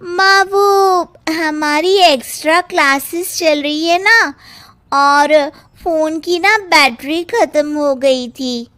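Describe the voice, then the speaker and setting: Woman doing bratty snappy college girl in a cartoon, really high voice, defiant. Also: slow, bright, forceful tone, heavily acted. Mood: defensive. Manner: defiant, curt. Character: rebellious, snappy college-age daughter.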